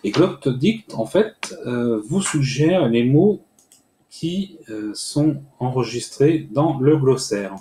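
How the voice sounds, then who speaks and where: A man hosts a screen-recorded tutorial and explains each step slowly, with frequent hesitations. His voice is mellow and warm. The room around him echoes, with a faint hiss behind. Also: mid-range voice, lively intonation. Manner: hesitant, many "euh" fillers, step-by-step explaining. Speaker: tutorial host.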